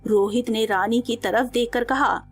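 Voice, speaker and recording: A woman tells a scripted cartoon story as narrator. Her voice is high and her delivery slow and measured, with music under it. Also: steady tone, husky.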